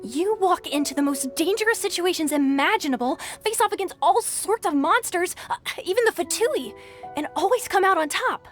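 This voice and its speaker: A polite, easily flustered registrar in a video game, stammering as she fills in forms. Her voice sits high, with faint game music behind. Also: moderate pace. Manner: polite, hesitant, easily excited. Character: flustered, starstruck female tournament registrar.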